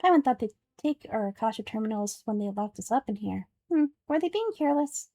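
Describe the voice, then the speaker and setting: A woman voicing a tiny, excitable, childlike female fairy companion from a video game, perky and boastful with a squeaky voice. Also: a little fast, dry recording. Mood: curious, eager. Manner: perky, boastful, speaks of self in third person.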